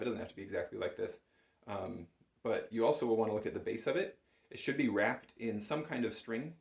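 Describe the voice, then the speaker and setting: Low-voiced man teaching, roomy sound. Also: gravelly, a little mellow. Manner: reassuring, informal, explains step by step. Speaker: bagpipe instructor. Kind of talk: casual instructional talk. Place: small indoor room at home. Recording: roomy, echoing small room.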